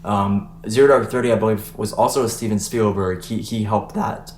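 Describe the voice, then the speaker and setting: A man's voice: on the low side, steady tone, normal speed, darkish tone. A young man hosting a casual podcast, chatting loosely with fillers and restarts. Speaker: podcast host. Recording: echoey room, faint noise.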